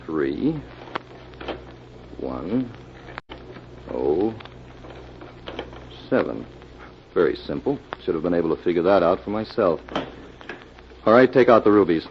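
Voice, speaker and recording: Menacing radio thriller thug. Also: male voice, sits low, expressive, slow, long pauses, heavily acted. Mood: curt, businesslike. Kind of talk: 1940s radio crime acting. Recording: muffled, hissy old radio recording.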